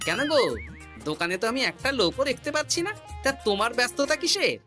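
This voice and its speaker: A woman's voice: on the high side, big pitch swings, quick pace, sharp tone, soft background music. A woman voicing a cheerful, curious male village jester in a cartoon, chatty and inquisitive. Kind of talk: cartoon dialogue.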